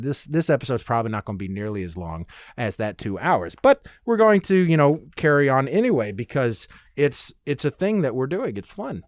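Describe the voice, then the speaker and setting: A man's voice: medium pitch, no echo, studio quality. A man hosting a solo podcast in a casual, rambling, self-deprecating way with informal language. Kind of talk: casual rambling monologue, conversational.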